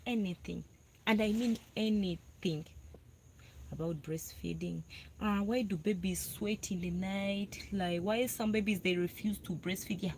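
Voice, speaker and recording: A woman's voice: mid-range voice, unhurried, darkish tone, slight background noise, low-quality recording. A young Ugandan woman hosting a casual live video about breastfeeding. She talks to her viewers, answers their comments and repeats herself a lot for emphasis. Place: parked car.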